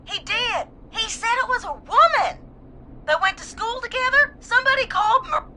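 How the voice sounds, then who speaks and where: A woman playing a grieving, shaken caller, tearful and halting, in dramatic game acting. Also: extremely high pitch, expressive, background noise, exaggerated voice. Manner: halting, fragile.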